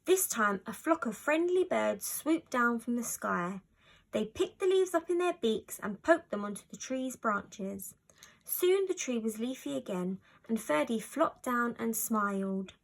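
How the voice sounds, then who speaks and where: A woman narrating a children's story from a script in an expressive storytelling style. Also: a little high, unhurried, creaky, rough recording. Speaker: storybook reader.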